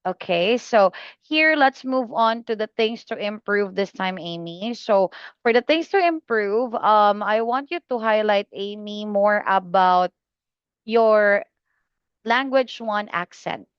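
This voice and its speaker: A woman tutoring English exam students, giving feedback slowly and patiently with many fillers and pauses. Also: medium pitch, lively intonation, smooth, dry recording, dull audio. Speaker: IELTS speaking tutor.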